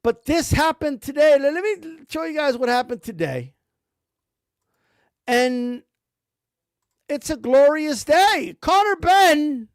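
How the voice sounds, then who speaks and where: A man's voice: very high voice, animated, takes it slowly, pauses often, dry recording, clean studio sound. A man giving blunt, rambling commentary about boxing, full of slang and cursing. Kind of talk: casual ranting commentary.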